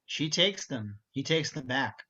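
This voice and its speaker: A man's voice: mid-range voice, expressive, unhurried, sharp tone, husky. A man reading out stage directions as the narrator of an amateur script reading. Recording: dull, muffled home recording.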